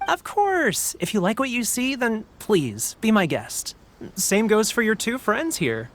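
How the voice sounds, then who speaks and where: A man's voice: high voice, sing-song, a little slow, fairly bright tone, a little hiss or hum, full character voice. A man voicing a cheerful middle-aged fortune stall keeper with a jovial, showman-like manner, in a game cutscene. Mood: welcoming. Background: faint game music.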